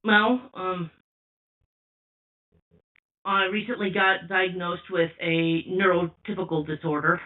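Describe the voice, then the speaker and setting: A young man with a high voice calling in anonymously, speaking slowly and hesitantly with many restarts. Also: fairly even intonation. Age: young adult. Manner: slow, hesitant, restarts often. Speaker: caller. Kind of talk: anonymous call-in sharing. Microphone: phone call. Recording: tinny phone line from far off.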